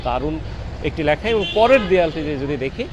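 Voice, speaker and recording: A man with a Bangladeshi accent reporting on location outdoors in a measured, deliberate way with frequent pauses. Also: on the high side, lively intonation. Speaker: reporter interviewing students. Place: outdoors on a university campus. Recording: noisy outdoor street with traffic.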